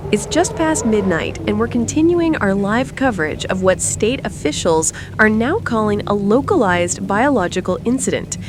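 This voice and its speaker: A woman playing a composed TV news anchor, reading grave emergency bulletins in a formal, measured way. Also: medium pitch, lively intonation, noisy background, close to an everyday voice. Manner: formal, measured newsreader delivery. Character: composed female TV news anchor covering a deadly outbreak. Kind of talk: scripted breaking-news narration.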